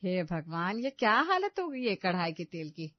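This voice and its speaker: A woman's voice: lowish voice, very animated, normal speed, no echo, lo-fi sound. A woman voicing an elderly, suspicious mother-in-law in a moral story, exclaiming and scolding.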